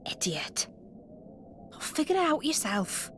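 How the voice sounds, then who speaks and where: A woman playing a brisk, blunt young female companion in a video game, casually teasing and chiding. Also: sits high, big pitch swings, a little hiss or hum, full character voice. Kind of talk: video game cutscene acting.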